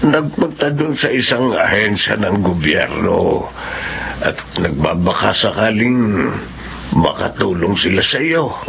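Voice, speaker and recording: An actor playing a gentle, concerned elderly grandfather in a Filipino radio drama, speaking tenderly and consolingly. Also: fairly low pitch, sing-song, a little slow, gravelly, slight background noise, full character voice. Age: older. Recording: dull radio recording with music bed.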